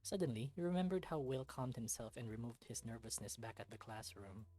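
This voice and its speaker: Let's-read narrator, mid-pitched voice, visual novel storytelling, game music underneath. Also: fairly quick, warm tone.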